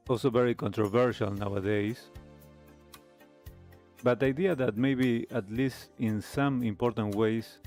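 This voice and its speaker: A man hosting a talk show, giving reflective commentary in halting, deliberate phrases. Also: mid-range voice, darkish tone.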